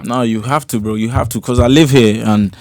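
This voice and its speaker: A man's voice: mid-range voice, steady tone, fairly quick, a little mellow, no room sound. A young man from Cork, a guest musician chatting casually in a small radio studio on a desk mic, laid-back and full of slang, often asking "do you get what I mean". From Cork, Ireland. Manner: laid-back, loose slang, keeps checking in with the listener. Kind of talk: casual interview chat. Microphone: studio microphone on a desk stand.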